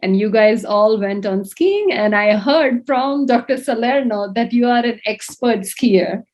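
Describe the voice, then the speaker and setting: A woman's voice: on the high side, lively intonation, measured pace, a little mellow. A young woman interviewing a guest, asking long, chatty questions full of "you know" and "like". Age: young adult. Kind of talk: conference interview.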